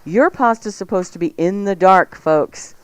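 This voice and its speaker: A woman's voice: mid-range voice, moderate pace, clean tone, no echo. Chatty woman hosting a morning show. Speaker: co-host of a cooking radio show. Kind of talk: casual radio chat.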